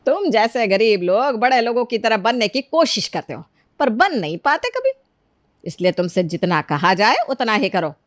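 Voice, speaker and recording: A woman's voice: on the high side, big pitch swings, normal speed, dry recording, studio quality. A woman voicing a harsh, scornful middle-aged mother-in-law who scolds and orders her maid about in a cartoon story. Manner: scolding, commanding, sarcastic. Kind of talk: Hindi cartoon story character dialogue.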